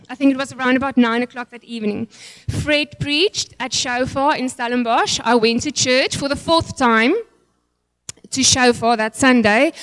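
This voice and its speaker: A middle-aged woman with a South African accent tells her testimony in front of a church, her first language being Afrikaans. She sounds nervous and emotional, pausing often on fillers and restarting phrases. Her voice sits high and is captured close and dry. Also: a bit bright, dry recording. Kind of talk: personal testimony and prayer.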